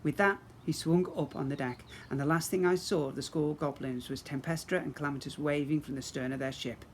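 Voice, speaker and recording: A man's voice: a little high, fairly even intonation, fairly quick. A man narrating a children's story expressively, as a teacher reading to young pupils. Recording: faint background noise.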